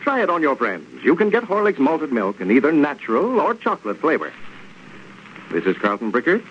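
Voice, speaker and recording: Animated old-time radio announcer man. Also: on the high side, fairly quick. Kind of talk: 1930s radio sponsor announcing. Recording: dull, noisy old radio recording.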